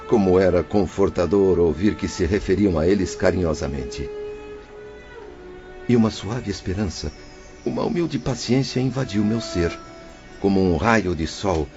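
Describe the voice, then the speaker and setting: A remorseful spirit, very deep voice, earnest and sorrowful, lo-fi audio, music behind. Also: male voice, a little mellow. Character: remorseful male spirit recalling his sorrows. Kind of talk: audio drama narration and dialogue.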